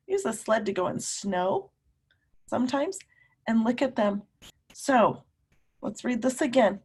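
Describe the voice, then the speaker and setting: A woman teacher reading a picture book aloud to young children, animated and emphatic, often putting questions to her listeners. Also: a little high, husky. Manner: animated, emphatic, drawn-out words, coaxing young children along.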